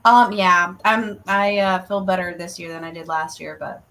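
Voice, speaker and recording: A woman's voice: on the low side, steady tone, normal speed, a bit bright. A woman co-hosting a show, chatting casually with the guest and her colleagues. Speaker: show co-host. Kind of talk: casual chat.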